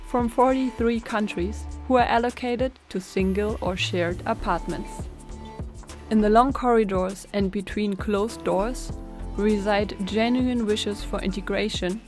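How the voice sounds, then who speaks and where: A woman giving a measured, reflective scripted narration for a documentary. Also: medium pitch, darkish tone. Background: music.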